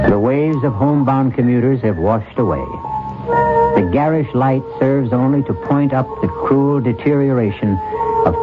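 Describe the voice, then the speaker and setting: An actor as the grave, measured narrator of an eerie old-time radio tale. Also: male voice, fairly low pitch, natural voice. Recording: tinny old radio recording. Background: loud music.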